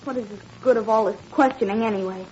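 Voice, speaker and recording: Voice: medium pitch, quick pace, darkish tone, lightly acted. An actor playing a stiff, defensive eleven-year-old boy, an indoctrinated and guarded German youth, in a 1940s radio drama. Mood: defiant. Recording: muffled, noisy old radio recording.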